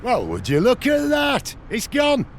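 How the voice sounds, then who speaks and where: Hearty village chief, lively swooping intonation, game dialogue, ambient noise behind. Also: male voice, very high voice, measured pace, full character voice. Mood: amazed. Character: hearty village headman, proud but good-natured. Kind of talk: video game voice acting.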